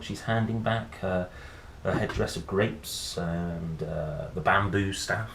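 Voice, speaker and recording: A man hosting a children's read-aloud, telling a picture book in a playful, expressive, dramatic way with chatty asides. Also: fairly low pitch. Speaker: host reading picture books aloud. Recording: roomy echo, faint background noise.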